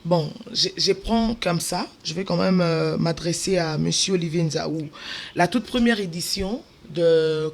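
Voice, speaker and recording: A woman's voice: lowish voice, measured pace, gravelly. A woman with a Congolese French accent hosting a panel interview as television presenter in a studio. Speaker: television host.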